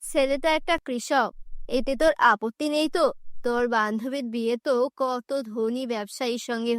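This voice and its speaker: A woman plays a poor village mother in a fairy-tale cartoon. She sounds middle-aged, gentle and worried, coaxing in a high, dry voice. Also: no echo, natural voice.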